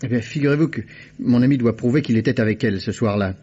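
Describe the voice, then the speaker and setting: An actor playing a polite, persistent private investigator with a husky voice, in an old radio drama. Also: male voice, medium pitch, fast, dark tone, close to an everyday voice. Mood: earnest. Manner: courteous, eager. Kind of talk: 1950s radio drama acting. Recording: dull old radio broadcast.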